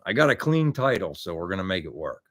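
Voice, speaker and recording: A man's voice: low-pitched, big pitch swings, fast, fairly bright tone. A man in his fifties hosting a car hobby livestream, chatting casually and rambling through answers to viewer questions with lots of restarts. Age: 50s. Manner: rambling, many restarts and fillers.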